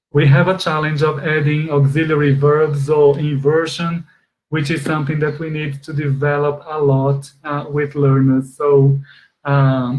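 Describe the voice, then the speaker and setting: A man's voice: fairly high pitch, darkish tone, poor audio. A middle-aged man whose first language is Portuguese, presenting an online teacher webinar over a webcam from a home office, explaining steadily with many fillers. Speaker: exam trainer. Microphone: webcam video call.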